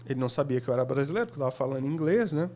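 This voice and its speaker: A man guest on a podcast, telling stories in a casual, animated way and acting out what people said. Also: mid-range voice, rapid-fire. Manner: animated, laughing, acts out quotes. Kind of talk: casual storytelling.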